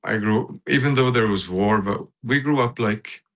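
Lebanese-born guy in his 50s, lowish voice, lots of ums and restarts. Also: normal speed, a bit bright. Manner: hesitant, many fillers and restarts. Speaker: interview guest, physician. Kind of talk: casual interview talk.

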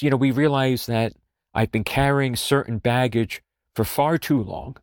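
A man hosting a podcast, talking in a gentle, reflective way with lots of "you know" fillers. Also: medium pitch, no echo, clean studio sound. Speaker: podcast host. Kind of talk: reflective spoken talk.

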